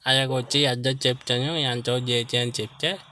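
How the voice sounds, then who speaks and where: Male prank caller, medium pitch, persistent and earnest-sounding, with clear noise and clicks on the recording. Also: fairly even intonation, a little fast, sharp tone. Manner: mock-earnest, persistent, repetitive pleading. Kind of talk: casual prank call comedy.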